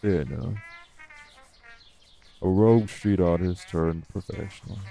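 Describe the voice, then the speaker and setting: A man playing a guarded, world-weary old vampire in an audio roleplay, speaking in an unhurried, wry, folksy drawl. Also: mellow. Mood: thoughtful, probing. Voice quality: low, gravelly. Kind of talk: slow, intimate audio roleplay acting.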